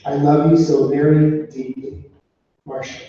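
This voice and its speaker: A man's voice: fairly high pitch, little pitch movement, measured pace, warm tone. A man reading a memorial tribute aloud at a funeral service. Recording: echoey room, distant muffled mic.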